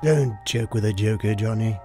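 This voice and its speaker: A man's voice: fairly low pitch, moderate pace, dark tone, lightly acted. A man voicing a sadistic, theatrical supervillain clown in a motion comic, drawling and mocking with menace and sudden outbursts. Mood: sinister, teasing. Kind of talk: dramatic motion comic voice acting. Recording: music behind the voice. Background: music.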